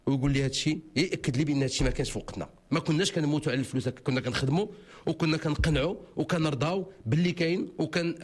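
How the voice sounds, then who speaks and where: Fast-talking Moroccan man, husky voice. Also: a little high. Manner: fast, insistent, repeats himself. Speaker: legal expert answering callers. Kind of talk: radio call-in advice.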